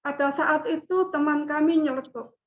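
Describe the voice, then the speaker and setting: A middle-aged woman giving a formal class presentation in measured, deliberate speech. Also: high-pitched, steady tone. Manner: slow, measured speech with chanted recitation. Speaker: student presenter. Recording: dull lo-fi audio.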